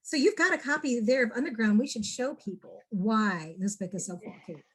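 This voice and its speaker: Upbeat interviewer, middle-aged woman, slightly high pitch, eager and warm questions. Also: expressive, brisk, husky. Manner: enthusiastic, encouraging. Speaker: interview host. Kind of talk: casual interview.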